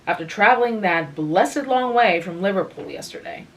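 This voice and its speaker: A woman reading aloud, voicing a sturdy, practical fourteen-year-old girl who is brisk and motherly. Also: medium pitch, expressive, slight background noise, natural voice, low-quality recording. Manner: bright, emphatic and chatty.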